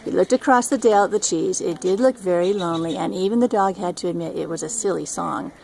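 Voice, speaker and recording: An older woman with a creaky voice reading a children's storybook aloud. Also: fairly low pitch, fast talker, darkish tone, slight background noise. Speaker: storybook reader, grandmother. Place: outdoors at a scenic lake.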